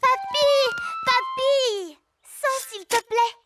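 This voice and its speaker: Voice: very high voice, drawn-out words, pushed and bright, faint music behind, exaggerated voice. A performer voicing a chatty, curious little pig girl in a children's cartoon, with eager, childlike questioning. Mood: eager, bossy.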